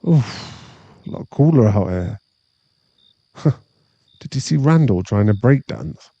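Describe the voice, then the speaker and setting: A man playing an awkward, tender former bully of nearly thirty in an intimate ASMR boyfriend roleplay, speaking with halting sincerity. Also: mid-range voice, expressive, vocal fry, husky, no room sound, highs cut off, full character voice. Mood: fond, teasing. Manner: hesitant, halting, soft-spoken sincerity.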